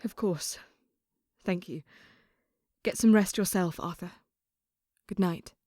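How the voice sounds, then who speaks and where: A woman's voice: fairly low pitch, slow, warm tone, dry recording, studio quality. An actress playing an exhausted, determined young woman who speaks tiredly and a bit curtly. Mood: weary. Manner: tired, clipped, slightly short-tempered.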